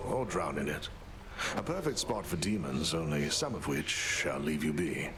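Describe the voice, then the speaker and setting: A man's voice: extremely low pitch, animated, normal speed, noisy background. A man playing a courteous, gloomy mortal warrior in a video game, wry and understated.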